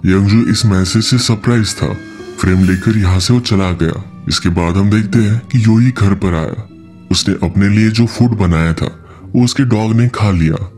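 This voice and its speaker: A man's voice: lowish voice, a little mellow. A man giving a scripted narration that recaps a film's story as a movie explainer. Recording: background music under voice-over. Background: music.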